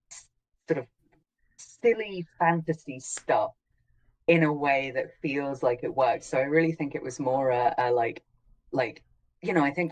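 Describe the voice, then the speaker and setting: Very low-voiced woman, hesitant chat. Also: rough recording. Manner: hesitant, searching for words. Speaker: audio drama creator, guest. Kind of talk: casual panel chat.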